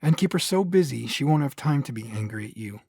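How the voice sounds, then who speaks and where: An actor playing a courteous, protective older brother in a space audio drama, with a husky voice and formal, measured diction. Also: male voice, mid-range voice, animated, dark tone, dry recording. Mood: concerned, courteous.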